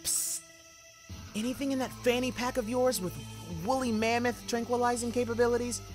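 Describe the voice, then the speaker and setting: A man's voice: very high-pitched, level tone, normal speed, a little mellow, soft background music, pitched above the performer's own voice. A man voicing a panicky, sarcastic protagonist who speaks in urgent asides in a fiction podcast. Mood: urgent, incredulous.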